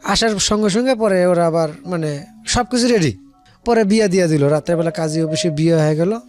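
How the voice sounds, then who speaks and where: A man's voice: high voice, fairly quick, darkish tone, soft background music. A man with a Bangladeshi accent telling a personal story in casual, colloquial speech, rambling and repeating phrases as he quotes conversations. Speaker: guest telling their life story.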